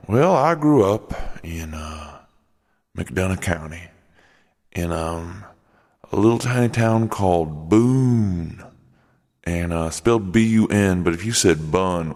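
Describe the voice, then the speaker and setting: A man voicing a gruff, defensive road comic and secret werebear, rambling and halting in an improvised comedy radio play. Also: deep voice, a little mellow, exaggerated voice. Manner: halting, rambling, irritable drawl with malapropisms. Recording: long reverberant echo.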